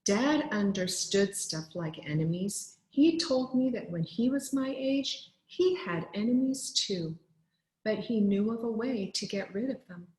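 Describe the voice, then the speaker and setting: A woman teacher reading aloud to children in an expressive storybook style, with deliberate, clearly phrased delivery. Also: mid-range voice, reverberant, rough recording.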